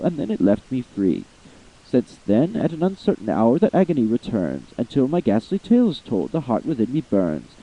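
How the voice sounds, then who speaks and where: A man's voice: medium pitch, mellow. Man reading verse dramatically, lo-fi. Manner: theatrical, swooping delivery of verse. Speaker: amateur reader.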